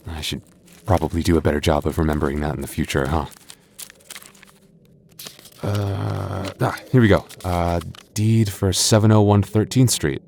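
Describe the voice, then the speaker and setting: A man's voice: sits low, moderate pace, vocal fry, long pauses, a little mellow, a little hiss or hum. A man voicing a boyfriend in an ASMR roleplay, stammering through restarts and teasing playfully.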